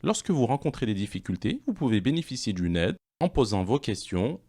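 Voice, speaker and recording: A man presenting an online language course, reading questions and answers in a measured, explanatory way. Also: medium pitch, very animated, warm tone, breathy edge.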